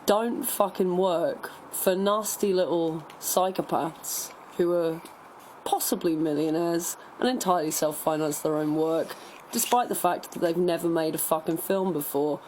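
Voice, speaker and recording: Low-voiced sardonic woman on radio. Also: unhurried, a little mellow, slight background noise, low-quality recording. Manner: hesitant, sardonic, sweary. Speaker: radio show co-host. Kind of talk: casual radio chat.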